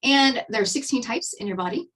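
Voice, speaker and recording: A woman's voice: on the high side, a little fast, fairly bright tone, husky, far from the mic, poor audio. A woman in her fifties with a Texan accent, hosting a casual webinar on skincare in a chatty, upbeat way with many fillers and restarts. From Texas, USA. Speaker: webinar host and skincare presenter.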